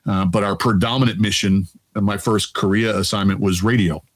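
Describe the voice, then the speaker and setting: Man, fifties, deep resonant voice, lowish pitch, relaxed chatty storyteller, interview guest. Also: a little fast. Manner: relaxed, chatty, quotes himself and laughs easily. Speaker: Air Force veteran guest.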